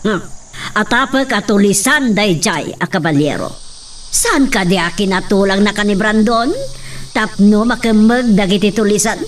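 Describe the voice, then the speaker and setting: Actress as a haughty rich doña, imperious and accusing, radio drama, a little distant from the mic. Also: female voice, medium pitch, moderate pace, close to an everyday voice. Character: haughty wealthy landowner woman, interrogating. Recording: distant mic with faint noise.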